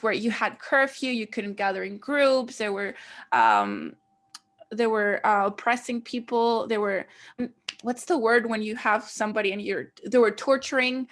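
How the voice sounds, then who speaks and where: A woman's voice: on the high side, expressive, a little slow, a bit bright. A woman with a Greek accent co-hosting a casual podcast over a video call, explaining history with plenty of fillers and restarts. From Greece. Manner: rambling, many fillers and restarts while explaining. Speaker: podcast co-host.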